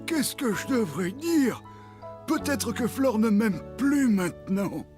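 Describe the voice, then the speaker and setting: A woman voicing an insecure male combine harvester in a children's cartoon, slow and mournful in manner. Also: on the high side, big pitch swings. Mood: gloomy. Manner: slow, mournful, self-doubting. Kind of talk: French children's cartoon dub. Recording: music behind the voice.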